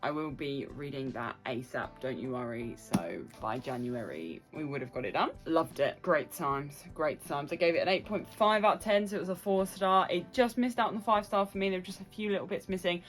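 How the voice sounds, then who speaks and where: A woman's voice: deep voice, fairly quick, few pauses, faint music behind. A woman giving a casual, chatty review to camera as a vlogger, gushing and emphatic, often repeating phrases for emphasis.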